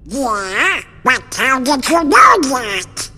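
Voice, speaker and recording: An actor voicing a feisty, loyal cartoon duck in a video game, with a garbled quacking voice. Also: male voice, very high voice, big pitch swings, very slow, music in the background, heavily acted. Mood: questioning. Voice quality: quacking, garbled, buccal squawk.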